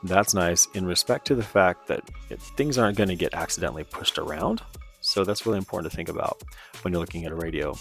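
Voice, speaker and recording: A man's voice: low voice, quick pace, vocal fry, a bit bright, faint music behind. A man giving a casual, informal product review in a conversational way. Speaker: presenter of radio gear review.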